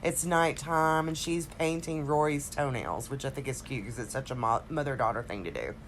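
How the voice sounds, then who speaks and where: A woman podcast co-host with a throaty voice from a cold, chatting casually and haltingly through a TV recap. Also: a bass voice, a little hiss or hum. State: recovering from a cold, slightly congested.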